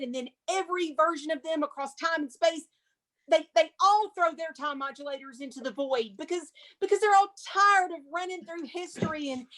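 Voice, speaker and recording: An actress playing a museum curator who narrates screenplay stage directions aloud. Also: female voice, sits high, natural voice, poor audio.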